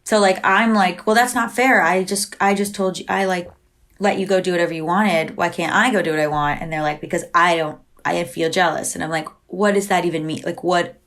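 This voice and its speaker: A woman chatting openly as a guest, her voice sitting a little low for a woman. She talks in loose, restarting bursts packed with "like," playing out quoted exchanges and breaking into laughter often. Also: fairly even intonation, fairly quick, fairly bright tone. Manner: chatty, heavy use of "like", acts out quoted exchanges. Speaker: podcast guest. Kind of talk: candid conversational interview.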